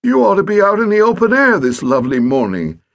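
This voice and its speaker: A man voicing a fussy, irritable elderly gentleman who grows flustered. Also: high-pitched, wildly swooping pitch, brisk, voice pushed up, exaggerated voice. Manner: peevish, flustered.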